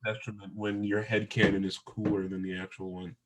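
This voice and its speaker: A man co-hosting a podcast in casual chat, joking with a deadpan delivery. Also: lowish voice, a little fast, a little hiss or hum. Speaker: podcast co-host.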